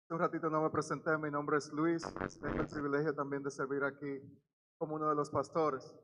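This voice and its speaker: A man serving as pastor, welcoming the congregation, introducing the speaker and praying in a steady, formal way. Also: fairly high pitch, roomy sound, in a large hall, rough recording. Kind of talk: church announcements and prayer.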